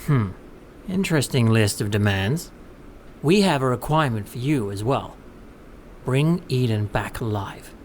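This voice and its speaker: An actor playing a mysterious, businesslike man in a suit in an audio drama, speaking in a clipped, measured, formal way. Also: mid-range voice, expressive, slight background noise, lightly acted. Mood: cool, measured.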